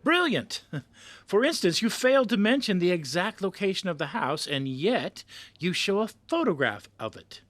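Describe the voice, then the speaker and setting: Audio drama role: hearty, demanding editor, high-pitched, emphatic and theatrical, close dry sound, male actor. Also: very animated, moderate pace, a bit bright, no echo. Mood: excited, pushy. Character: effusive, pushy male magazine boss.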